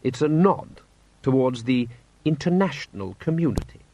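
A man voicing a cool, imperious aristocratic ruler in an audiobook, measured, precise and drily sarcastic. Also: mid-range voice, expressive, warm tone, slight background noise, pitched above the performer's own voice, natural voice. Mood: measured, firm. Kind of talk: audiobook character acting.

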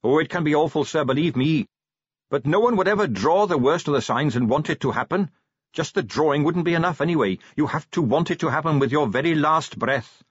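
A man's voice: a little high, brisk, husky, no echo, pitched above the performer's own voice. A man doing an earnest, eager young captain. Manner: earnest, eager, polite "sir"s. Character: earnest young male watch captain, dutiful. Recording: dry, slightly dull close-mic.

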